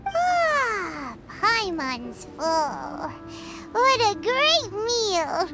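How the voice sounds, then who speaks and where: Squeaky, excitable little fairy companion. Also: female voice, drawn-out words, exaggerated voice. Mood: cheerful, satisfied. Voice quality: squeaky, childlike. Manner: refers to self in third person, exuberant. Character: tiny chirpy excitable female fairy companion, childlike. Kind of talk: video game character acting. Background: music.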